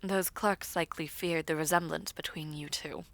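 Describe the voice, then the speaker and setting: A woman voicing a haughty but kindly royal princess in an audio roleplay, formal and measured, sometimes breathy. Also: low voice, fairly even intonation, no echo. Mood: dry, reassuring. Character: soft-spoken, haughty royal princess, blunt yet kindly.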